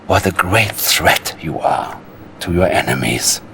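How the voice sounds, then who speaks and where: An actor playing a sinister, cunning Soviet psychic mastermind in a game cutscene, silky and menacing, with a Russian accent. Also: male voice, deep voice, lively intonation, unhurried, creaky, fairly bright tone, a little hiss or hum, full character voice. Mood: falsely flattering. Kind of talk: theatrical game cutscene acting.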